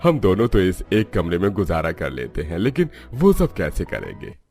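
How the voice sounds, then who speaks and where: Male actor as elderly village grandpa, low voice, lively intonation, agreeable and practical, cartoon. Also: normal speed, gravelly, soft background music, full character voice.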